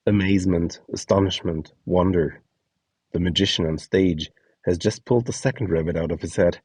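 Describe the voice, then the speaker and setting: A man's voice: low-pitched, normal speed, darkish tone, slightly rough, dry recording. A man reading scripted instructions and short scene prompts as the narrator of a guide.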